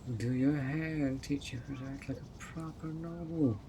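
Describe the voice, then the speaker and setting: A man's voice: mid-range voice, fairly even intonation, normal speed, background noise, close to an everyday voice. A man voicing a handsome, obsessive male fairy who coaxes and dotes in a possessive way, in an audio roleplay. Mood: pleading. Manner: coaxing and doting, turning controlling.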